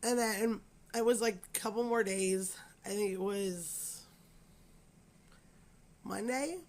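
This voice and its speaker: A woman hoarse from a cold, her voice raspy and scratchy. She talks to her viewers at home in a loose, rambling way, full of fillers and apologies. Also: medium pitch, takes it slowly, darkish tone. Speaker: crochet channel host. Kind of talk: casual chat to camera.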